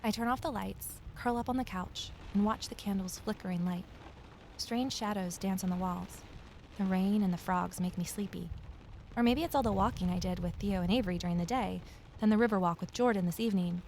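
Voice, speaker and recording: A woman's voice: mid-range voice, brisk, a little mellow, a little hiss or hum, natural voice, rough recording. An actress playing a guarded, lonely amateur sleuth who narrates her own mystery in an introspective audio drama style. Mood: wistful.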